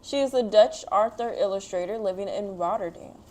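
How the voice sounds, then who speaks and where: A woman hosts a picture book read-aloud for children. Her voice sits at a middle pitch for a woman. She explains things in simple, encouraging words, speaking straight to young listeners. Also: fairly quick, darkish tone, low-quality recording.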